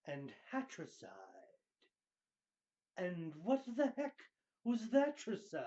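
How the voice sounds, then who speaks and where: A man giving a hammy, grandiose dramatic reading, drawing out his phrases with theatrical pauses. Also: a little high, dark tone, muffled recording.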